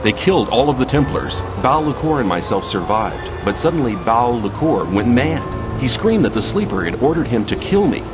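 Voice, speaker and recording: An actor playing a blunt, down-to-earth adventurer in a video game, plain-spoken and skeptical. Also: male voice, mid-range voice, big pitch swings, moderate pace, hardly any pauses, natural voice. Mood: matter-of-fact. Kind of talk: video game dialogue acting. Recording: music over the voice.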